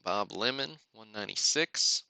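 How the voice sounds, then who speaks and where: A man's voice: fairly low pitch, lively intonation, normal speed, vocal fry, sharp tone, clear, resonant tone, dry recording. A man hosting a live card-opening stream with casual, off-the-cuff commentary and muttered asides to himself. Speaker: host of a sports card break livestream.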